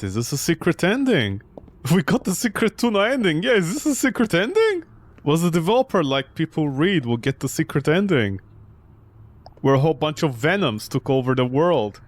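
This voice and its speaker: Animated, higher-pitched man doing game commentary. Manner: sarcastic, jokey. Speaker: gamer commentating on a horror game playthrough. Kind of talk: casual joking let's play commentary.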